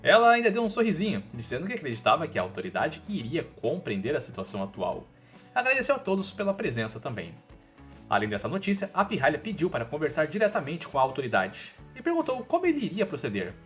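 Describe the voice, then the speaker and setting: A man's voice: on the high side, wildly swooping pitch, fast, fairly bright tone, faint music behind. A man with a Brazilian accent narrating a scripted comic recap in an informal, slangy storytelling manner.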